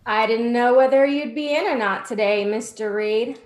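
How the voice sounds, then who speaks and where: In a roomy, echoing space, a woman acts a skeptical office secretary from an old-time radio play. Her voice sits a little high. Her delivery is dry and wry. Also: moderate pace, natural voice. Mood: dry, curious.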